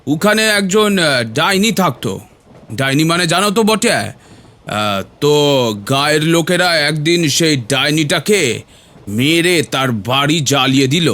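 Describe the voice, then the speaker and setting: Village uncle played by a man: rural Bengali accent, slightly high voice, folksy and obliging, horror audio story. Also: fairly bright tone. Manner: folksy, repeats verbal tags, hesitant fillers. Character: superstitious rustic village uncle, obliging and talkative.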